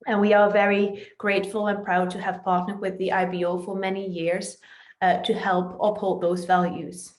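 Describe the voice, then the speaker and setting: A woman's voice: medium pitch, little pitch movement, normal speed. Dutch-accented woman presenting in an echoey room. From Netherlands. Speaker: company territory manager presenting. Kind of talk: online presentation, prepared explanation. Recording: roomy, echoing voice.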